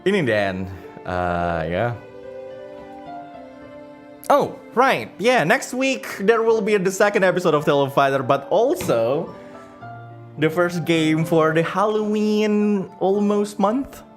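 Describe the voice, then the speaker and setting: A man hosting a livestream in casual chat, halting and rambling as he searches for words, with as his language. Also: high-pitched, big pitch swings. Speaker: livestream host. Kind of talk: casual livestream chat, reading donations and comments. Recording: music playing behind the voice. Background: background music.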